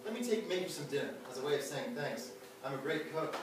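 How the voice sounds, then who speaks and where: A man's voice: fairly high pitch, big pitch swings, fast. A man playing a naive, earnest young mama's boy in a live comic radio play, awkward and eager to please. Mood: hopeful, polite. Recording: roomy, distant live venue recording, loud piano.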